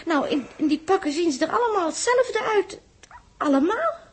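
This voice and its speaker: A woman's voice: extremely high pitch, lively intonation, normal speed. An actress playing an anxious young girl on a spaceship in a 1960s radio drama, plaintive and questioning. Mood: fretful, curious.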